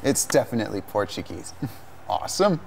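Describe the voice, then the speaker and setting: A young man co-hosts an English lesson in a TV studio. He speaks as a native English speaker, friendly and upbeat. His pitch rises and falls widely. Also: sing-song, brisk, creaky, a little hiss or hum. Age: young adult. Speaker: English teacher co-host. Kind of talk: televised language lesson. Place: TV studio set.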